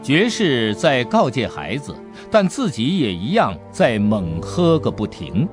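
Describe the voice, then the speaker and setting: A man narrating an audiobook adventure story in an expressive, dramatic storytelling manner. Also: medium pitch, unhurried, over music.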